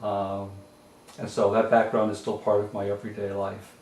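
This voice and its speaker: An older man from western Massachusetts, a native French speaker, answering interview questions in a measured, reflective way with many pauses. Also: fairly low pitch, monotone, a little mellow. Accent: mix of French Canadian and American. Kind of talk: oral history interview. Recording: roomy audio from a distance with faint hiss.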